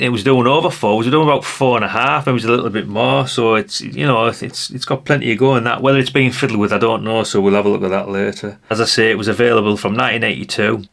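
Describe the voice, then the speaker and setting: A man's voice: mid-range voice, creaky, fairly bright tone. A British man giving a casual hands-on walkthrough as a presenter, chatty and rambling, often tagging questions onto his remarks. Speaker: presenter of a vintage radio channel.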